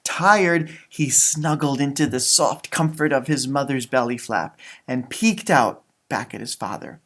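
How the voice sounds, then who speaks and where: A man reading a children's picture book aloud in an expressive, teacherly way, with casual chatty asides. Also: mid-range voice, a little slow. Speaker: storybook reader, elementary school teacher.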